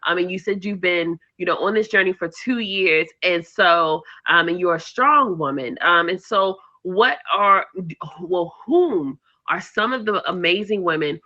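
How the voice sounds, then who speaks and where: A woman hosts a relaxed podcast conversation, backing her guest with steady affirmations and repeated phrases. Her voice is bright and forward, though the recording sounds a little dull and lo-fi. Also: fairly low pitch, unhurried. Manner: affirming, frequent "mm-hmm" and "I love that", repeats phrases. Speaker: podcast host. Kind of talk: casual interview chat.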